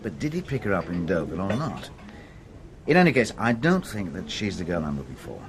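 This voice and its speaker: An actor playing a dry, practical detective in a naturalistic radio drama, conversational and dryly humorous. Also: male voice, sits low, very animated, fairly quick, a little hiss or hum. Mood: businesslike.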